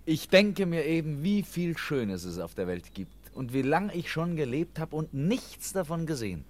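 A man's voice: a little high, sing-song, moderate pace. A male actor playing a melancholy, fearful young foundling in a radio drama, with a naive, childlike, plaintive way of talking. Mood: sad, bitter.

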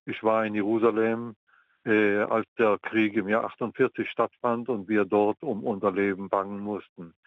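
An older man from the Mandate of Palestine, speaking as a historian in an interview, measured and pausing often with ums. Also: on the low side, level tone, no room sound. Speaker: guest historian. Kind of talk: interview answers. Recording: tinny phone line, dry.

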